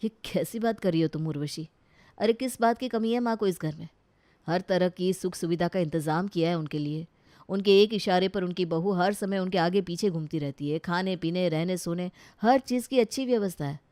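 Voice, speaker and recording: A woman's voice: fairly low pitch, normal speed, mellow, natural voice. Woman voicing an exasperated young husband. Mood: defensive. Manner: exasperated, reasoning. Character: frustrated young husband caught between mother and wife. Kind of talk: Hindi animated family story acting.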